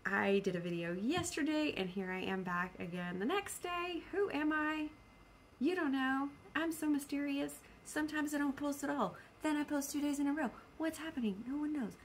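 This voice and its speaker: A woman's voice: sits high, vocal fry, a little hiss or hum. A woman chatting casually and spontaneously as a book vlogger, rambling in a playful, self-deprecating way.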